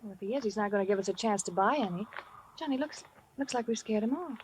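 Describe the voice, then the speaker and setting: A woman's voice: mid-range voice, fast talker, a little hiss or hum, close to an everyday voice, low-quality recording. A woman playing an earnest young woman, the host's daughter, in a 1950s radio drama. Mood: lively.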